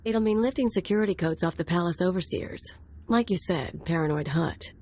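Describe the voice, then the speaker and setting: A woman's voice: on the low side, a little fast, warm tone, a little hiss or hum, natural voice. An actress playing a brash, tough mercenary woman in a video game, with a sardonic, cocky delivery. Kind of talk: video game cutscene acting.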